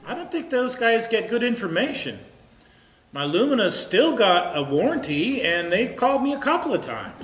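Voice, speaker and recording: A man's voice: sits high, very animated, normal speed, fairly bright tone. An actor playing an earnest, gossipy small-town man at cards in a comic stage play, folksy and plainspoken. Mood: earnest gossip. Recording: echoey stage sound.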